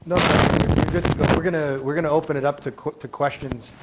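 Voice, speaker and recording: A male event host speaks fast and with a somewhat high voice, reassuring the speaker. He sounds distant from the mic, with clear background noise in the room. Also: steady tone.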